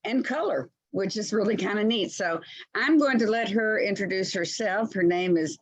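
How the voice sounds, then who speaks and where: A woman's voice: mid-range voice, moderate pace, breathy edge. Friendly Texan woman instructor with a Southern accent, laughing easily, heard over a video call. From Central Texas. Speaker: class instructor. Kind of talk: casual chat, class introduction.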